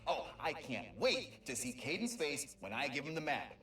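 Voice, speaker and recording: A man's voice: fairly high pitch, very animated, normal speed, a bit bright, roomy sound, distant mic. A man voicing a young, eager, nervy male alien explorer in a video game audio log, stammering and rambling self-consciously as he talks. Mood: excited, giddy.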